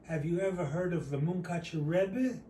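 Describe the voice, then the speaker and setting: A man reading his own short story aloud as its author, in a measured, deliberate storytelling manner. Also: on the high side, mellow. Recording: roomy, distant mic, faint noise.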